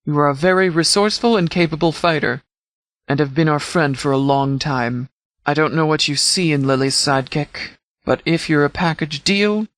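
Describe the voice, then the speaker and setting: A man playing a stern, composed sheriff in a video game, speaking with formal, clipped military authority in a slightly higher voice. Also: a bit bright, dry recording. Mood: measured, serious. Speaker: commanding officer. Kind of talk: scripted video game dialogue.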